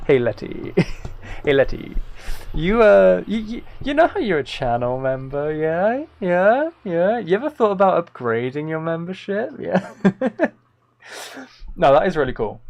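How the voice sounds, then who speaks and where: A man hosting a live game stream in casual chat, rambling playfully and thinking aloud into a close microphone. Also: on the high side.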